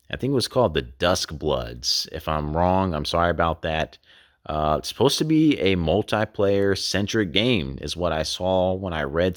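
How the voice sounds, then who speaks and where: A deep-voiced man rambles through a casual video talk about games, full of fillers and loose asides. His voice is a bit worn, as he is losing it. The sound is dry and close, with no room around him. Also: dry recording. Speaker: game collecting channel host.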